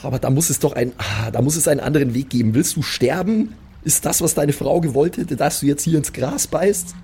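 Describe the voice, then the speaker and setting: A man's voice: a little high, brisk, warm tone, slight background noise. A man playing an improvised tabletop role-playing game, stammering and repeating his words. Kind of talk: improvised in-character role play, casual chat.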